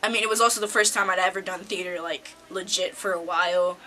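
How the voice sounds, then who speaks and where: Low but bright teenage girl. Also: fairly even intonation, fast talker, rough recording. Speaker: high school student actor. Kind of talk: casual interview reflection. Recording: faint background noise.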